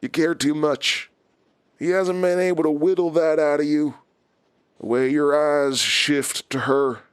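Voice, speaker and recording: Smug, higher-voiced male villain. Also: clear, resonant tone, no echo. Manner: taunting, calculating, controlled. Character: menacing, smug male villain, an old enemy. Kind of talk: audio drama dialogue.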